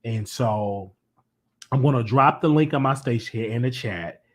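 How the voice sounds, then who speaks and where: Man, live call-in host, casual, voice worn, excitable. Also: normal speed. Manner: animated, pitch jumps high when excited. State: losing his voice.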